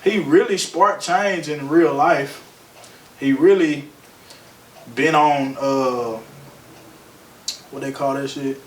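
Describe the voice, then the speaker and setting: Podcast co-host, man, easygoing and talkative, slightly high pitch, distant echoey mic. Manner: relaxed, quick back-and-forth interjections. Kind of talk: casual podcast chat. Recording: echoey room, mic at a distance, faint noise.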